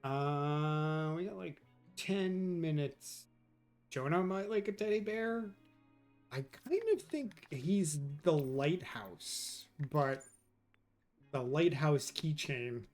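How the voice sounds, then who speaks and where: New york guy streaming a game, chatty and loose, talking to chat, soft game music under him. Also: a little high, slow pace. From New York, USA. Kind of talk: casual gameplay chat with viewers. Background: faint game music.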